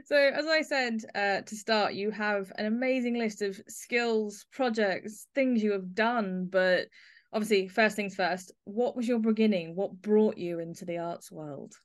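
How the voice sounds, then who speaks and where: A woman's voice: mid-range voice, measured pace. A woman hosting a podcast as a casual, chatty interview, speaking effusively to the guest. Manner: effusive, full of praise for the guest.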